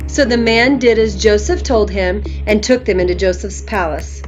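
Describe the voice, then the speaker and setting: A woman's voice: medium pitch, normal speed, dull audio. A woman narrator reading scripture aloud as dramatic scripted storytelling. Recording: music behind the voice.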